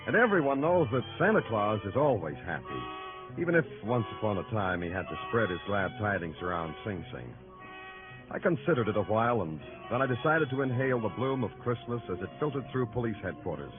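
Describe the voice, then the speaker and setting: An actor playing a hard-boiled police lieutenant who narrates an old radio drama, dry and sardonic. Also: male voice, on the low side, very animated, fairly quick. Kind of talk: 1940s radio acting. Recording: tinny old radio with music.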